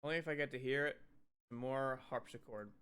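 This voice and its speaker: A man's voice: medium pitch, moderate pace, fairly bright tone, clear, resonant tone. A man doing a casual voiced playthrough of a visual novel, reading and reacting in a sarcastic, slangy way. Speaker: gaming video creator.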